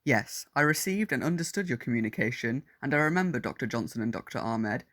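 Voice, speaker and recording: A man's voice: medium pitch, animated, fast talker, a bit bright, soft background music. A man playing a menacing alien war android disguised as a teenage boy, speaking in formal, clinical phrases in an audio drama. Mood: cold, menacing. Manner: formal, clipped, clinical speech.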